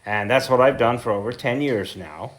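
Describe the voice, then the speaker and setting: Man in his seventies, American accent, medium-pitched voice, informal lecture, reflective storytelling, pauses and self-corrections. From central Idaho, United States. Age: 70s. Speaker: heritage preservationist and lecturer. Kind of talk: informal talk to a local group.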